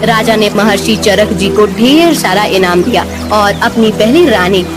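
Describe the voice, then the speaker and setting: A woman's voice: mid-range voice, a little slow, music in the background. A woman narrator reading a scripted story for a cartoon.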